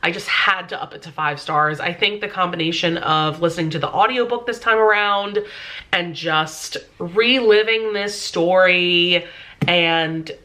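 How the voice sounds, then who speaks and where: A woman's voice: lowish voice, a little slow, sharp tone. A young woman with a stuffy, congested nose, chatting casually to the camera as a vlogger and filling her talk with "um" and "like". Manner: chatty, many fillers like "um" and "like".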